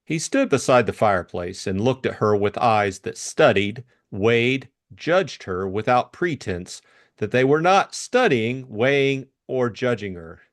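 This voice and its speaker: A man's voice: mid-range voice, animated, a little slow. A man reading scripted narration for an audiobook.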